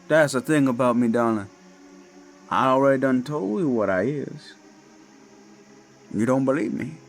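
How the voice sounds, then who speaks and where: A man voicing a menacing Cajun werewolf of the swamps, with a Cajun accent and a slow, taunting drawl. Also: medium pitch, close to an everyday voice. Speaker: villain in audio drama. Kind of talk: horror audio roleplay. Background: faint eerie music and crickets.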